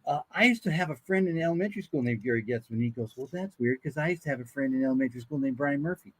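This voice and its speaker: A man's voice: medium pitch, lively intonation, racing, breathy edge, poor audio. An older American man, a voice actor appearing as a guest, tells casual anecdotes and acts out the quoted dialogue in them.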